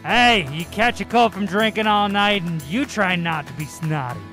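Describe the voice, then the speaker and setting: A man voicing a cranky, gravelly blob of slime that gripes in a parody toy commercial. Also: high voice, normal speed, faint music behind. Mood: grumbling. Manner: cranky griping, breaking into yells. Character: grumpy, gravelly, complaining blob of talking slime.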